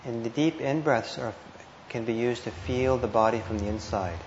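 A man teaching meditation, giving guided instructions with gentle, hesitant phrasing and pauses. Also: mid-range voice. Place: indoor meditation hall. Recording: muffled, roomy live talk with noise.

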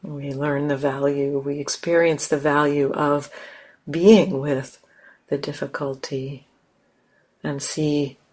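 A woman teacher leading a guided meditation in a gentle, unhurried manner, leaving long pauses between phrases. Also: sits low, level tone, breathy edge.

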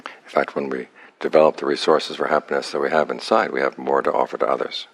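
A man leading a guided meditation as a teacher, speaking calmly and unhurriedly in short phrases with long pauses. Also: a bass voice, vocal fry, husky.

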